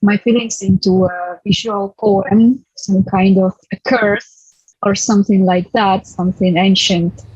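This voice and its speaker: A woman's voice: medium pitch, fairly even intonation, measured pace, a little mellow, breathy edge, dry recording. A woman from Ukraine with a Ukrainian accent, speaking as an artist in a documentary interview on a muffled recording. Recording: dull, lo-fi, very dry sound.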